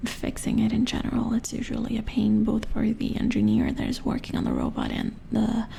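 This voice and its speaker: Female engineer played by a woman for ASMR robot-repair roleplay; mellow, very creaky whisper, unhurried, mildly curious, thinking aloud. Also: fairly high pitch, wildly swooping pitch, a little hiss or hum, full character voice. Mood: matter-of-fact, explaining. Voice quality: breathy whisper with creaky edges. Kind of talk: soft-spoken ASMR roleplay.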